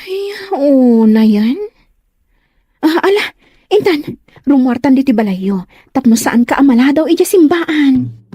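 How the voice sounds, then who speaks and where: A woman's voice: high voice, animated, a little slow, pauses often, mellow, no room sound. A woman playing a bubbly, inquisitive best friend of a bride, chatty and gushing in an Ilocano comedy drama. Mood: curious.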